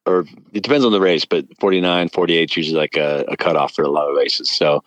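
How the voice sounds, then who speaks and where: A man's voice: low-pitched, fairly even intonation, quick pace, no room sound. A man in his fifties, a guest comedian in casual podcast chat, who laughs often and makes jokey asides. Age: 50s.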